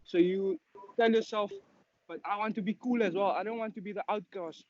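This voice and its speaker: A man's voice: high-pitched, steady tone, a little fast. A young man in his early twenties with a Cape Town South African accent, answering interview questions casually and earnestly as a footballer. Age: early 20s. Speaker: professional footballer being interviewed. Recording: dull lo-fi sound.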